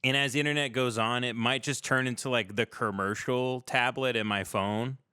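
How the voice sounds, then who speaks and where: Bright, crisp casual male talker. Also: mid-range voice, steady tone, normal speed, no echo, clean studio sound. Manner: profane, informal, slangy. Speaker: talk show host. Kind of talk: casual livestream chat.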